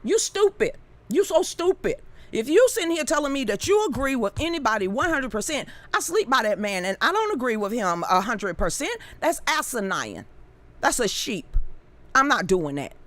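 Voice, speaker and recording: A woman's voice: a little high, big pitch swings, moderate pace, fairly bright tone, dry recording. A woman, ranting bluntly and heatedly to her live-stream viewers with plenty of cursing. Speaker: live-stream political commentator. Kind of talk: unscripted live-stream rant.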